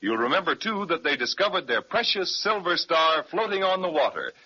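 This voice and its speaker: A man's voice: on the high side, big pitch swings, brisk, sharp tone, breathy edge. A man narrating a 1930s children's radio serial in a folksy storyteller manner, on an old recording. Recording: muffled 1930s radio transcription.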